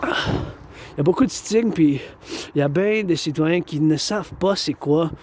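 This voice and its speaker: Québécois man, warm higher voice, repetitive outdoor monologue, light traffic behind. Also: measured pace. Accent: Québécois French. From Quebec. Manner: repetitive, circling back to phrases. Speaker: vlogger. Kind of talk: rambling monologue to camera.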